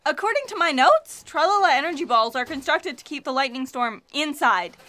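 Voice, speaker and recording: An earnest fact checker acted by a woman in a comic sci-fi audio play. Her voice is high, and she delivers everything in a fussy, literal, fretful way. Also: big pitch swings, fairly quick, sharp tone, full character voice. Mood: earnest, puzzled.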